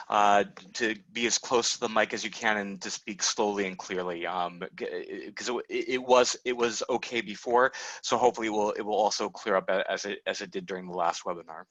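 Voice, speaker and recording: A man's voice: lowish voice, a bit bright, dry recording, low-quality recording. A man helping with audio trouble on a webinar, stammering and repeating words as he gives advice. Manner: stammering, helpful. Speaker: webinar technical host. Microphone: video call.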